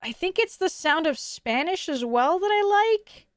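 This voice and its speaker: A young woman with a high voice, chatting casually with her stream chat in a rambling way, stumbling over her words and laughing often. She is close to the mic and her voice sounds dry, with no room around it. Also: expressive, normal speed, dry recording.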